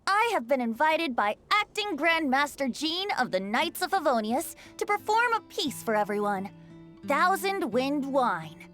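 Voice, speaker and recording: A youthful game bard with a high, light voice. The delivery is florid and measured, like a recital, slow and gracious over prominent music. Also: female voice, very animated, fairly bright tone, full character voice. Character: genial youthful bard, courteous and theatrical. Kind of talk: poetry recital in video game.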